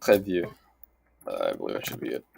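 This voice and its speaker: A man's voice: sits low, sing-song, a little slow, darkish tone. A man casually commentating on on-screen lessons, thinking aloud in a mumbled aside manner. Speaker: host of a language-learning series. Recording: clearly noisy home recording.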